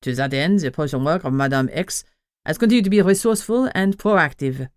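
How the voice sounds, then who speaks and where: Pompous, sinister male French witch put on by a woman, low and lecturing, dry audiobook read. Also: expressive, a little fast, dry recording. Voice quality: deep. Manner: pontificating, sinister.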